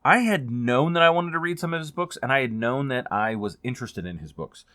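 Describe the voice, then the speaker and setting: A man talking casually as a book reviewer in a rambling, informal way that gets animated on points of emphasis. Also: medium pitch, fairly quick, dry recording. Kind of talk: casual chatty book vlog.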